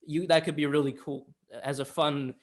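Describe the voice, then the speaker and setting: A man's voice: a little high, steady tone, normal speed. A man co-hosting a film review podcast, chatting casually and giving opinions in informal language.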